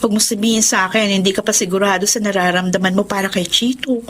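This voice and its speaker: A woman's voice: medium pitch, fairly even intonation, fast, a little hiss or hum, lightly acted. An actress playing a frank, supportive young woman friend in a Filipino drama, familiar in manner, with a Filipino accent. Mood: probing.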